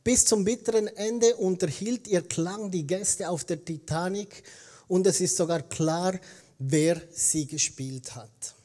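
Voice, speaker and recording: A man's voice: high voice, a little mellow. A Swiss German man with a Swiss accent, preaching a sermon as a guest pastor in standard German, telling stories conversationally and correcting himself as he goes. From eastern Switzerland. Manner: conversational storytelling, self-correcting.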